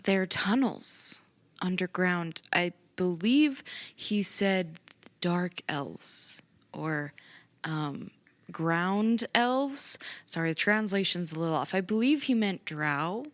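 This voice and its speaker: A woman playing a tabletop game, explaining events in character in a halting, explanatory manner. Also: on the low side, expressive, no room sound.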